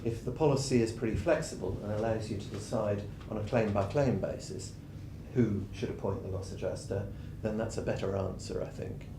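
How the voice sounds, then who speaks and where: A man giving a conference presentation on tax, his voice going hoarse as he explains points steadily with frequent ums and clears his throat. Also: fairly even intonation, a little fast, few pauses. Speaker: tax adviser presenting a session. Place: conference room. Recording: echoey room with rumbling noise.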